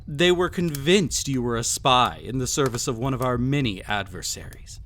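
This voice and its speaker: Villain emperor, played by a man: arrogant, possessive, theatrical, highly animated mid-range voice, some background noise, audio roleplay. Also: natural voice. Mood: mocking contempt. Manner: haughty, formal, mocking, deliberate theatrical phrasing. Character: cruel, arrogant, possessive male emperor, devious and condescending. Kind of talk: scripted audio roleplay, villain monologue addressed to listener.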